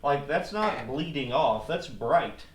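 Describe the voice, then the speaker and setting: A roomy, slightly distant recording of a man in a garage, with clear noise behind him. He narrates hands-on repair work casually, with a folksy, self-deprecating dry humor. His voice sits at an average male pitch. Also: lively intonation, slow pace. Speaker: DIY car repair video host. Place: garage workshop. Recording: echoey, distant mic, clearly noisy.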